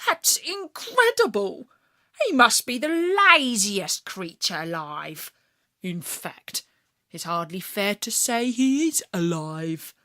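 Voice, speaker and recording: A woman voicing a boastful, excitable hare in a children's story, exclaiming with scorn and heavy emphasis. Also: medium pitch, slow pace, no echo, studio quality, heavily acted. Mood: scornful.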